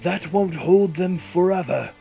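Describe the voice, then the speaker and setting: A man's voice: high-pitched, unhurried, vocal fry, mellow, faint music behind, pitched above the performer's own voice. A man voicing commanding, heroic warriors giving urgent orders in battle in a fantasy audio story. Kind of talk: dramatic character voices in fantasy audio story.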